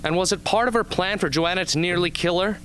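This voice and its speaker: A man playing a young, haunted but earnest arena survivor with intense, deliberate delivery in an amateur radio drama. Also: high-pitched, fairly bright tone, soft background music. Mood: indignant. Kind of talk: amateur radio drama acting, interview scene.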